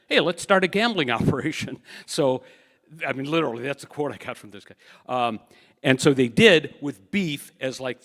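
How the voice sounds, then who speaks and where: A man's voice: medium pitch, fairly bright tone. An older man, an author, telling wry anecdotes at a book talk in an easygoing storyteller's way. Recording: reverberant hall.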